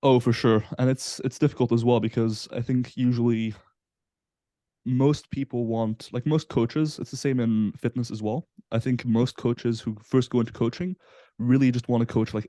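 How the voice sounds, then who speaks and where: A man in his twenties from Belgium, a guest on a casual podcast interview, explaining in a relaxed way with frequent fillers. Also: medium pitch, no echo. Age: 20s. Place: Southampton, UK.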